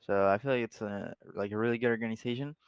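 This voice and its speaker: A man's voice: on the low side, quick pace, clean tone, dry recording, highs cut off. A young man from Mexico with a Mexican Spanish accent, a guest chatting casually in an interview, laid-back with lots of "like" and laughter. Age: young adult. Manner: laid-back, laughs often, many fillers. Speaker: guest, esports player.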